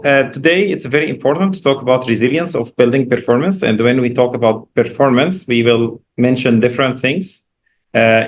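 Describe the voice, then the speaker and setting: Man lecturing in Egyptian-accented English. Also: mid-range voice. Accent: Egyptian Arabic. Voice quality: non-native English phrasing. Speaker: professor giving a guest lecture. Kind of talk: informal academic presentation with slides. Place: online video call.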